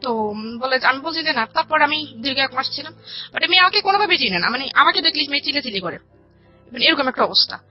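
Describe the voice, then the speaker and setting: A woman's voice: high-pitched, animated, fast. A young woman, telling a personal story as an interview guest in a rambling, repetitive way. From rural Bangladesh. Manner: rambling, repeats phrases, quotes family conversations. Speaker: radio show guest telling her life story. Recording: dull lo-fi audio with music behind. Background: background music.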